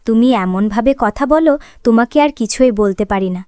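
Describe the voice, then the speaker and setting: Woman as young cartoon wife, insistent, emotive, smooth clear voice, dry sound. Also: fairly high pitch, lively intonation, fairly quick, dark tone, no echo, natural voice. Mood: peeved. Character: young wife and mother, coaxing, easily aggrieved. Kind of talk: cartoon drama dialogue.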